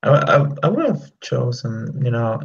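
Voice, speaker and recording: Halting man on a dull lo-fi call line. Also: mid-range voice, big pitch swings, gravelly. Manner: halting, searching for words with many fillers. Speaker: learner on a practice call. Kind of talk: casual chat over video call. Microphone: video call. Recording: dull lo-fi video call audio.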